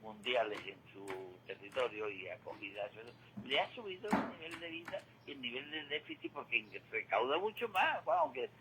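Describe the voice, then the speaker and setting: A man's voice: mid-range voice, very animated, moderate pace, vocal fry, hardly any pauses, background noise. A man giving opinionated, conversational radio commentary in an emphatic, explanatory way, often repeating his points. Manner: emphatic, didactic, repeats points and asks rhetorical questions. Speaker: radio commentator on current affairs.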